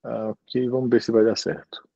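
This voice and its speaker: A man gives a friendly, conversational university talk over a video call. His voice is mellow and darkish, and the sound is dry and a bit muffled. He keeps checking in with his listeners as he goes. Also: medium pitch, normal speed, creaky, no room sound. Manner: chatty, relaxed, tags sentences with "né" and "tá". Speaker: guest lecturer. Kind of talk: informal university lecture.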